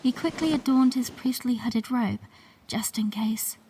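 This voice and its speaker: A woman playing a gentle young princess who narrates her memories in a scripted audio drama, measured in delivery. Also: fairly high pitch, a little hiss or hum, low-quality recording. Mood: wistful, reflective. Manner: measured, storytelling.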